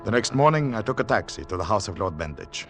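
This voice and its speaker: An actor playing a haunted secret agent on the run, narrating in dramatic, deliberate, clipped phrases in 1940s radio thriller style. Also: male voice, lowish voice, big pitch swings, music in the background. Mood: urgent, controlled. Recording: noisy 1940s radio recording with clicks.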